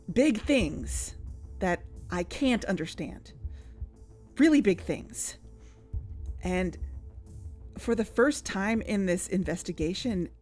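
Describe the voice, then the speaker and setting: A woman in scripted audio drama dialogue, earnest and worried, sometimes rushing her words. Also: medium pitch, a little mellow. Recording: music bed underneath.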